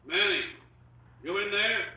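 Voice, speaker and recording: Stern cop, high sharp calling voice, slow and commanding, echoing far off, old radio drama. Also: male voice, full character voice. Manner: shouted, commanding, deliberate calling. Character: stern policeman calling out an ultimatum. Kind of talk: 1950s radio crime drama acting. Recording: distant, echoing, dull 1950s radio recording.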